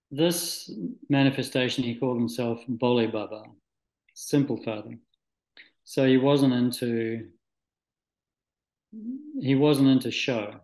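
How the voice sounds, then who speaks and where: A man from Scotland who moved to New Zealand as a child, speaking reflectively and haltingly as an interview guest, searching for words. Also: medium pitch, darkish tone. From Scotland, moved to New Zealand at nine. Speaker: interview guest, spiritual practitioner. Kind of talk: reflective, conversational interview answers. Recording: echoey room.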